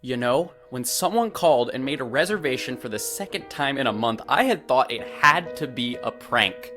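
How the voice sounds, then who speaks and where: A man's voice: medium pitch, expressive, moderate pace, sharp tone, faint music behind. A man playing an affable, wordy hotel clerk in a visual novel, with a dramatic, chatty manner. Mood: theatrical.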